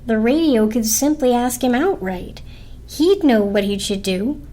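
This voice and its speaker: A woman's voice: fairly high pitch, lively intonation, unhurried, dark tone. A woman voicing a sweet, determined little toaster with a reasoning, persuasive manner in a storybook reading. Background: orchestral film score.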